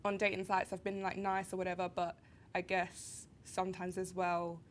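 Young girl on a panel, lowish voice, acts out the quotes, bit of rumble. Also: normal speed. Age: young adult. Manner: animated storyteller acting out quotes. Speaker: panel guest. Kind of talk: casual group chat. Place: small studio with grey backdrop.

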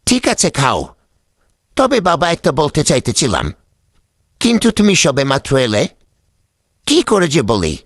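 A man voicing a kindly father in a cartoon, gentle, coaxing and hesitant. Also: a little high, huge pitch swings, moderate pace, long pauses, dry recording, studio quality. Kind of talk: cartoon dubbing.

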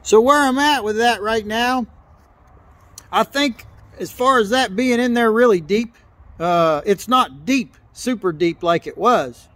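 High-voiced man teaching welding outdoors. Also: unhurried, a bit bright, no room sound. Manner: plain-spoken, repeats and restarts phrases while explaining. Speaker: welder teaching on camera. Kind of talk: hands-on welding tutorial. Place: outdoors by a log truck in a gravel yard.

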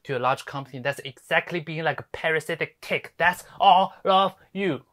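Actor doing a sardonic fiery lawyer speech, mid pitched voice, stagey drama monologue, really scathing. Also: normal speed, close to an everyday voice. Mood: scornful. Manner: scathing, rhetorical, swinging from hushed to ranting. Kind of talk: theatrical dramatic monologue performance.

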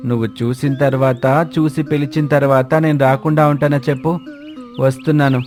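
A man's voice: medium pitch, fairly even intonation, moderate pace, a little mellow, close to an everyday voice. A man voicing a troubled, reluctant crow in a children's cartoon story, explaining himself in a weary, plaintive way. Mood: troubled, resigned. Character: troubled, reluctant male crow caught between two admirers. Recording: music bed under the voice.

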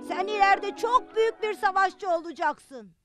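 A woman's voice: very high voice, moderate pace. A woman voicing a competitive, show-off young boy in a cartoon. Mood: taunting. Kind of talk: dubbed cartoon acting. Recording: loud music under the voice. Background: loud music.

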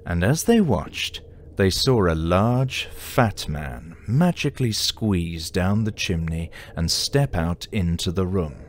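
A man playing the storyteller of a festive comic audio drama, narrating in a measured storybook way. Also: low voice, expressive, slight background noise. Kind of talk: scripted narration.

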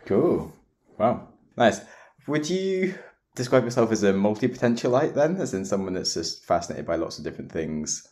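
Young guy hosting a podcast, pretty low voice, chill and chatty, says like a lot. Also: normal speed. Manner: relaxed, chatty, uses "like" a lot. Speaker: podcast host. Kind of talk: casual interview chat.

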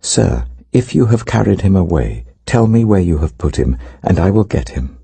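A man's voice: low-pitched, big pitch swings, normal speed, creaky, warm tone, husky, dry recording, exaggerated voice. An older man with a British accent voicing a grieving, bewildered woman disciple, earnest and pleading, in a scripture audiobook. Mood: distressed, pleading.

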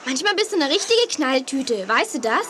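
Cheeky, lively high-voiced girl. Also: moderate pace, background noise. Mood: blunt, teasing. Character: cheeky, blunt younger sister, a girl. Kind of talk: 1980s children's radio play acting.

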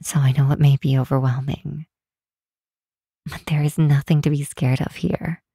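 A woman voicing a kind, protective demon queen in an ASMR comfort roleplay, speaking gently and reassuringly in a measured way, close to the microphone. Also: deep voice, steady tone, creaky, slightly rough, no echo, heavily acted. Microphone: close, dry microphone.

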